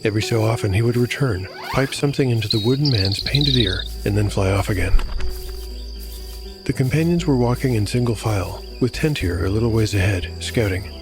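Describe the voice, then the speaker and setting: A man's voice: on the low side, fairly quick, over music. A man working as solo game master, narrating a scripted fantasy audio drama and commenting on the game.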